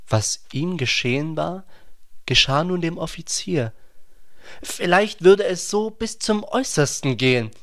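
A man's voice: a little high, animated, unhurried, fairly bright tone. A man narrating a radio play from a literary script.

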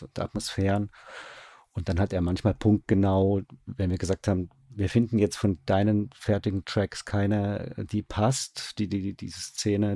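A man's voice: low voice, vocal fry, dark tone, no echo, studio quality. A man answering interview questions as a radio drama director, conversational and often searching for words with repeated starts.

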